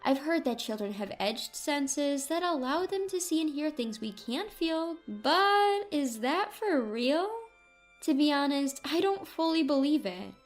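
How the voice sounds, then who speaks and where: Casting: female narrator, high voice, scripted first-person storytelling over faint music. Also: lively intonation, measured pace.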